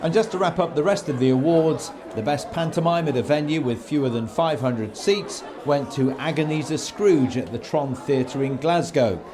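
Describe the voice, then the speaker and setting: A man presenting a podcast as its host, reading scripted announcements and links. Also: mid-range voice. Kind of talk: scripted presenting and backstage interviewing.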